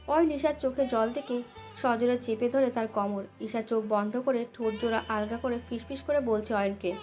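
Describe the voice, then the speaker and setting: A woman's voice: a little high, normal speed, a little mellow, soft background music. A woman narrating a scripted dramatic love story as its storyteller.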